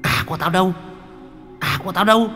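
A man's voice: high-pitched, quick pace, bright, forceful tone, big-room sound, soft background music, voice pushed up. A man voicing a ghostly old village woman who shrilly and insistently demands something. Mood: menacing.

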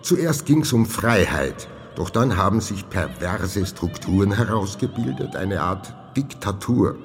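Pompous old general, creaky and lively. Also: male voice, low-pitched, unhurried, faint music behind, exaggerated voice. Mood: confiding, earnest. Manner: pompous, muddles words into malapropisms. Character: pompous retired operetta-singer type, an elderly male general. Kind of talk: radio crime drama acting.

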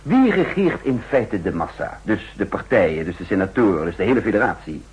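An actor playing a shrewd, insistent counter-espionage chief in a 1960s radio drama, speaking formally and emphatically with a husky voice. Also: male voice, on the low side, sing-song, fairly quick, a little mellow, full character voice. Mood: insistent, persuasive. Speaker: narrator and main character. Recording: muffled old 1960s radio recording.

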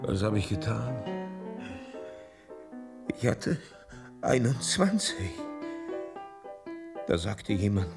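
An actor playing an uneasy, hesitant wealthy heir who gambles, in a German radio drama. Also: male voice, fairly low pitch, very animated, gravelly, exaggerated voice. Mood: shaken. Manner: hesitant, halting. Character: uneasy rich young male gambler, heir to millions. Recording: studio radio play with music behind.

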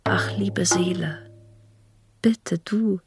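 A low, very slow and breathy female voice, mourns with solemn tenderness. She recites devotional verse in a radio play, music clearly behind. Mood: tender mourning. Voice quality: breathy, often whispering. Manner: solemn, reverent. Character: devout, mourning female soul, tender and pleading. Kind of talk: radio drama poetic recitation.

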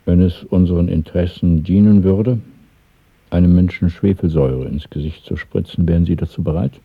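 An actor playing a grave, authoritative conspirator in a radio drama, speaking formally and deliberately as he questions a recruit. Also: low voice, steady tone, creaky, husky, no echo, narrow, tinny audio, full character voice. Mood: stern questioning. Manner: formal, deliberate, coolly interrogating. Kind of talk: 1970s German radio drama acting. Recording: thin, band-limited old radio play recording.

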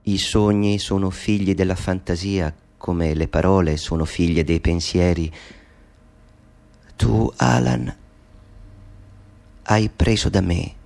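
A man playing a soft-spoken, tender mother. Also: low-pitched, vocal fry, voice pushed down, exaggerated voice. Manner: soft, slow, soothing. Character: gentle loving mother speaking softly to her son. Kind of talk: radio play acting.

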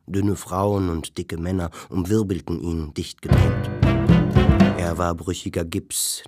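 Deep-voiced male narrator over prominent music. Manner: measured, descriptive. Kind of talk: literary radio drama narration.

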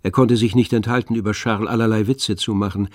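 A man narrating a literary radio drama in a measured storytelling manner. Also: on the low side, fairly even intonation, darkish tone, no echo, clean studio sound.

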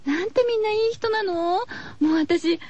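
A woman's voice: extremely high pitch, normal speed, a little mellow. A woman hosting a radio show, chatting casually to her listeners in a cutesy, girlish way. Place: radio studio. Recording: slightly dull, lo-fi radio recording.

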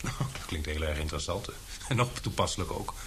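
Young reporter in a radio play, low voice, wry n hesitant, earnest, faint hiss. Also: male voice, lively intonation, brisk. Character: earnest, self-deprecating young male reporter, former airman. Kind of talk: radio drama acting.

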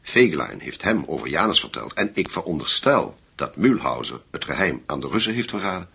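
An actor playing a grave German investigator in a language radio drama, with a gravelly, husky voice. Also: male voice, a bass voice, big pitch swings, brisk, bright, forceful tone, heavily acted. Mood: serious, intent. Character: grave German man investigating a wartime secret.

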